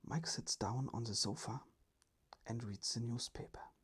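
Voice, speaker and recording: A storyteller narrating a scripted children's tale in a soft, breathy ASMR whisper. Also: medium pitch, unhurried.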